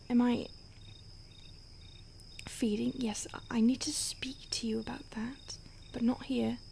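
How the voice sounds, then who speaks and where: A woman playing an ancient, secretive vampire in a whispered roleplay ASMR, hushed and halting with deliberate pauses. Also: fairly high pitch, gravelly. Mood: urgent, secretive. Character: ancient female vampire, secretive, urgent, weary, protective. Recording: faint hiss, outdoor night insects.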